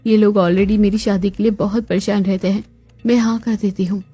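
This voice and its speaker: A woman acting the dramatic, expressive daughter and chatty female relatives of a cartoon family story. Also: medium pitch, normal speed, slightly rough, no room sound, lightly acted. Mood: anxious, dismayed. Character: young unmarried daughter and her chatty women relatives, expressive. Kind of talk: Hindi cartoon story dialogue. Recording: music bed behind the voice.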